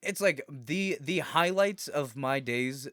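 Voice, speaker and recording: A man's voice: a little high, slow pace, a bit bright, no echo, studio quality. A man co-hosting a casual podcast, chatting loosely, swearing and laughing often. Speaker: podcast co-host. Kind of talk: casual chat.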